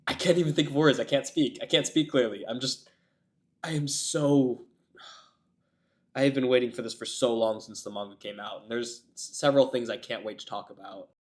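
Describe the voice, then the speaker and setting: Raspy, nasal man with a cold. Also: mid-range voice, steady tone, fairly quick. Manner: rambling, self-described yapper. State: getting over a cold. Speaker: anime reaction host. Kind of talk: casual chat to camera.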